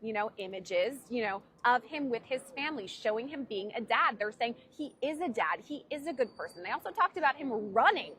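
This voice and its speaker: A woman court reporter explaining a case in measured legal news commentary. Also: a little high, expressive, fairly bright tone, a little hiss or hum.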